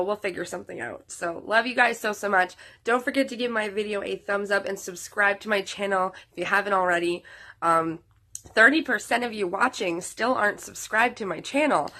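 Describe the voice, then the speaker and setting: A woman's voice: medium pitch, moderate pace, sharp tone. A woman in her thirties, sick and losing her voice, sounds nasal as she chats casually to her viewers, rambling with many fillers and restarts. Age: 30s. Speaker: vlogger.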